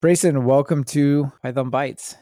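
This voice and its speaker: A man's voice: medium pitch, fairly even intonation, unhurried, dark tone, no room sound. A man hosting a podcast, talking casually about tech. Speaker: podcast host.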